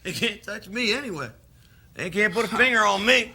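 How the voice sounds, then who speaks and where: Cocky, bright-voiced drifter brother. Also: male voice, high-pitched, lively intonation, brisk, breathy edge, heavily acted. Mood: cocky, menacing. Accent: American. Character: cocky, menacing drifter older brother, folksy and hot-tempered. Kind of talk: filmed stage play acting.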